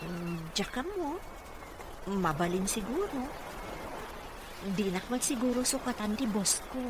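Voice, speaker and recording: A woman's voice: medium pitch, animated, unhurried, close to an everyday voice. A woman with a Filipino accent playing a dutiful, caring caregiver in an Ilocano radio drama. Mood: friendly. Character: dutiful, caring female caregiver and working mother. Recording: noisy ambient background.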